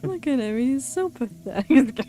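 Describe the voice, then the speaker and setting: Young female streamer, giggly and slangy, fairly high pitch, unhurried gameplay chat. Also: expressive, dark tone. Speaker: game streamer. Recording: game music behind voice.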